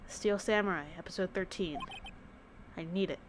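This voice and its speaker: A woman voicing a cold, curt female TV producer in a video game, deadpan and dismissive. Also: medium pitch, moderate pace, background noise, pitched below the performer's own voice, lightly acted.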